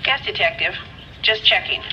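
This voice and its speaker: Police records operator, formal, helpful, noisy radio-telephone line, game cutscene. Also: female voice, mid-range voice, lively intonation, normal speed. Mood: helpful, official. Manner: formal, informative. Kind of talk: video game cutscene acting.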